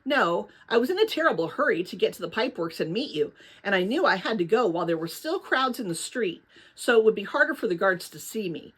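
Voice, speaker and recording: A woman's voice: mid-range voice, very animated, a little fast, hardly any pauses, fairly bright tone, breathy edge. A woman voicing an earnest, anxious and determined young girl in a storybook, with an urgent, emphatic delivery. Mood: breathless recounting.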